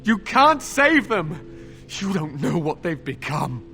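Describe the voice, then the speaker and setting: Tortured young templar, high voice, anguished, bitter, imploring, dramatic game acting, music underneath. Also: male voice, big pitch swings, slow pace, bright, forceful tone, heavily acted. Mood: desperate urging. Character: tortured, delirious young male templar captive, bitter and fearful.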